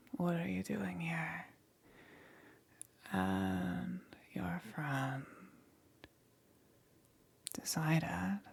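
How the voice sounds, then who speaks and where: A woman playing a powerful demon queen, gentle yet calmly menacing, in a breathy whispered ASMR roleplay with long pauses. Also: on the low side, exaggerated voice. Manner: slow, soft, coaxing, with long pauses.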